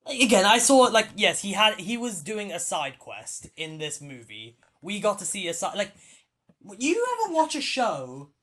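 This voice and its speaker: A man's voice: high voice, big pitch swings, moderate pace, sharp tone. A man hosting a casual film-talk podcast, rambling with lots of fillers and restarts. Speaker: podcast host.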